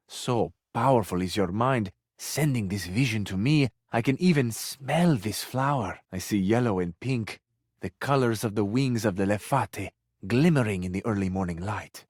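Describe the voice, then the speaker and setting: An actor playing a flowery, sensual, effusive Italian suitor who flirts overzealously in a video game, with an Italian accent. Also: male voice, lowish voice, no echo, clean studio sound, natural voice. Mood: seductive, tender.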